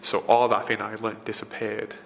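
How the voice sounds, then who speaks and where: Man with a flat, bright voice. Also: fairly low pitch, moderate pace, vocal fry. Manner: rambling, frequent fillers and restarts. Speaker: film location assistant. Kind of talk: casual interview answers. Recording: reverberant room, faint background noise.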